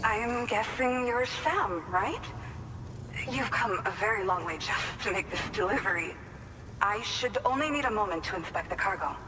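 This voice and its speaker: An actress plays a respectful, plainspoken female outpost worker in a video game. Her mid-pitched voice is casual and direct, a little off the mic amid clear background noise. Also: animated, moderate pace, recorded from a distance, lightly acted. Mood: frank, appreciative. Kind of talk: video game cutscene dialogue.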